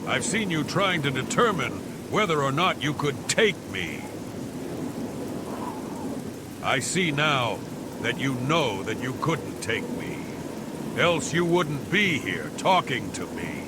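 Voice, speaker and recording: A menacing, boastful heretic in a fantasy game. His delivery is slow, theatrical and pompous, dripping with contempt and rising and falling dramatically. Heavy rain and thunder roar behind him. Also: medium pitch, big pitch swings, very noisy. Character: boastful, menacing heretic villain, damned priest-slayer.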